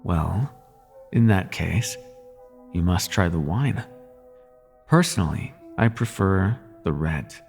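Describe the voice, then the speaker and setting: Man playing a slow, gravelly, seductive demon prince. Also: deep voice, expressive, a little mellow, soft background music, heavily acted. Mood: smooth, inviting. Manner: courtly, teasing, lingering delivery. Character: suave male demon prince of nightmares, teasing and seductive. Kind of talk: intimate audio roleplay acting.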